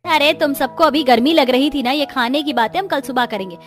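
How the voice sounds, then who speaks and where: Woman playing high-voiced authoritative older mother-in-law. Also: brisk, soft background music, lightly acted. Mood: instructive. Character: older mother-in-law, food-loving, authoritative but fair.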